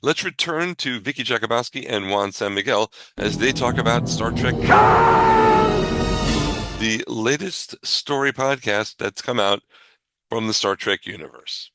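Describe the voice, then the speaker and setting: A man's voice: medium pitch, unhurried. A man hosting a show, announcing breaks and returns in a scripted presenter style. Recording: loud music under the voice. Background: loud music bed.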